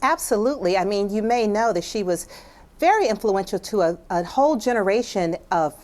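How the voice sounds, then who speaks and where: A woman's voice: medium pitch, lively intonation, brisk, a little mellow. A woman with an American accent speaking as a writer and guest in a thoughtful broadcast discussion.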